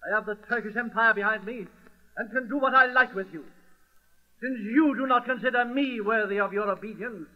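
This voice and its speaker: High-voiced, imperious governor. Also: male voice, fairly quick. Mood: vengeful, imperious. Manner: pompous, declamatory, commanding. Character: imperious, vengeful male provincial governor commanding nobles. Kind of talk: old-time radio drama acting. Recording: muffled lo-fi old radio recording.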